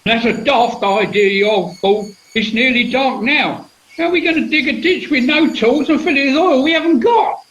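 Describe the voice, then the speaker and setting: Bloke doing a gobby moaner, rude, really high voice, radio play. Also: male voice, moderate pace, rough recording. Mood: scornful. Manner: sneering, loud, blunt. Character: rude, belligerent heckling man. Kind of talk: comedy radio play acting.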